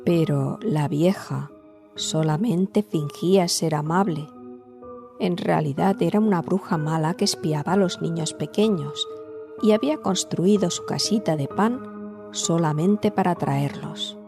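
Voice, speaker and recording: A woman narrating a scripted fairy tale as a storyteller, in a measured, dramatic way. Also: fairly low pitch, gravelly, music in the background.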